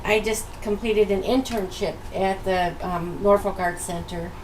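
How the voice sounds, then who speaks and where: A woman's voice: mid-range voice, steady tone, measured pace. Young woman, distant noisy recording. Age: young adult. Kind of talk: casual self-introduction.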